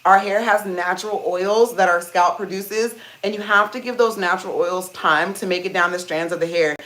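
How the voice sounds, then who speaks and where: A woman with a deep voice hosting a casual hair-care livestream, chatting to viewers and calling them "you guys" while she steams her hair. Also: moderate pace, fairly bright tone. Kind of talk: casual live-stream chat reading comments. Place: indoors at home, seated on a small chair. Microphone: phone.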